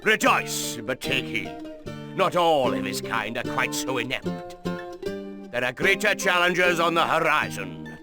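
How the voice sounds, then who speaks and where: Earnest medieval commander for game briefings, slightly high register, rousing and stately over music. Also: male voice, animated, a little slow. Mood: grave, rousing. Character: earnest noble male commander briefing his young lord. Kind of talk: scripted video game mission briefing.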